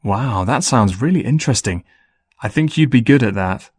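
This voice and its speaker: Male actor as busy young student: friendly, animated, mid-pitched, carefully enunciated test dialogue. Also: normal speed, no echo. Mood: earnest, friendly. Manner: clearly enunciated, scripted. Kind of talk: scripted dialogue for an English listening test.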